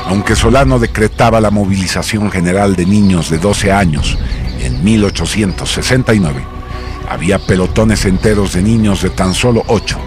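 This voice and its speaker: A man narrating a scripted radio drama in a solemn, grandiose manner with measured phrasing. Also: low-pitched. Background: music.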